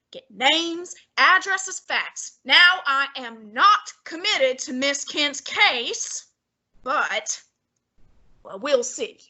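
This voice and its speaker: A fussy, heavyset older detective holds court in an old-time radio drama, played by a woman. He talks down to everyone in slow, high, bright tones, savoring each long word. His manner stays haughty and imperious throughout. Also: full character voice. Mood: exasperated. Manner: haughty, fussy, lofty diction.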